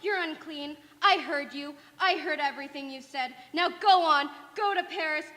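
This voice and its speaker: Woman playing very high, sharp, wounded young fiancee. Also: normal speed. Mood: furious, betrayed. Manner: theatrical, emphatic. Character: wounded, bitter, melodramatic young fiancee and daughter. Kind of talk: stage radio drama acting. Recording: echoey hall, clearly noisy.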